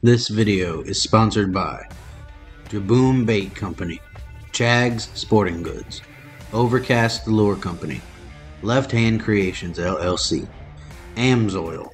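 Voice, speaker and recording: A man reading out a scripted list of sponsors as an announcer. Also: fairly low pitch.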